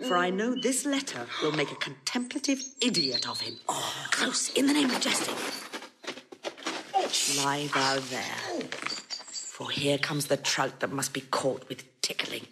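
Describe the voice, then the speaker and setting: A woman playing a sly, mischievous schemer in a classical Shakespeare audio drama, brisk and conspiratorial. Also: low voice, big pitch swings, full character voice. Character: sly, mischievous woman plotting a mocking trick. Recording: very noisy old recording.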